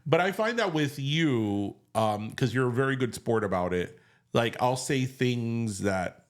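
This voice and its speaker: A man's voice: medium pitch, unhurried. A man with a Miami Cuban-American accent chatting casually as a co-host, teasing and dropping in Spanish words. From Miami, USA. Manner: animated teasing banter, sprinkles in Spanish words. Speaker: podcast co-host. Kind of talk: casual chat.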